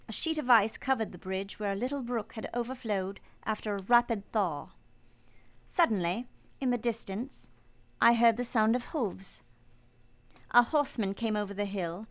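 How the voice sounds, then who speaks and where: An actress playing a composed, earnest young governess in a radio play, speaking in a measured, formal storytelling way. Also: female voice, fairly high pitch, a bit bright, close to an everyday voice. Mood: reflective, measured. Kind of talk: first-person narration and dialogue in radio play.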